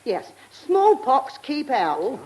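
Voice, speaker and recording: A feisty village gossip in a radio play. She has a rural working-class English accent and a fairly high, very animated voice. Her talk is blunt and conspiratorial. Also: takes it slowly, full character voice, poor audio. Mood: scheming. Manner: blunt, colloquial. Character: feisty, gossipy, plain-spoken village countrywoman, scheming. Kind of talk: British radio drama acting.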